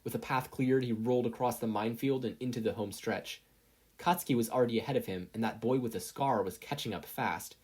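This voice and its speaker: Audiobook-style narrator: medium pitch, husky texture, animated scripted storytelling. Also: brisk. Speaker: podfic narrator.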